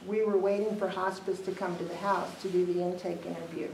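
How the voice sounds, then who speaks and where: A middle-aged woman, a death doula on a panel, speaking earnestly and in a measured way to an audience. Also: fairly low pitch, flat delivery, creaky, darkish tone. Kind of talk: personal talk to an audience. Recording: echoey room, far from the mic, some noise.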